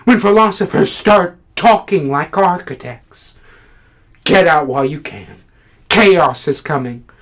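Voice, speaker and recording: A man's voice: a little high, animated, a bit bright. A man playing a fervent, swooping literary critic. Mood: indignant. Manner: impassioned, halting then declamatory. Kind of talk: theatrical stage monologue.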